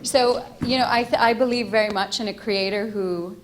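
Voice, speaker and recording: A woman from Egypt giving an earnest, measured keynote with a loud speaking voice. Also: medium pitch, fairly even intonation, rough recording. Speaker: keynote speaker, ethicist and futurist. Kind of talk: keynote talk.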